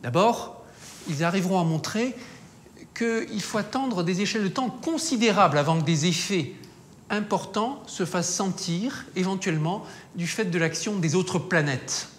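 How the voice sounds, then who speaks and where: A scientist explaining in a documentary, teaching step by step with some hesitations. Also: male voice, sits high, lively intonation, normal speed, slight background noise.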